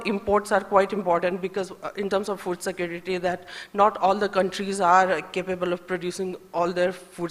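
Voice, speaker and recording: A woman from Nepal with a Nepali accent, speaking as a conference panelist in a hesitant, halting way, thinking aloud as she makes her points. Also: medium pitch. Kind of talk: semi-spontaneous panel remarks on trade policy. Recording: echoey hall with long reverb.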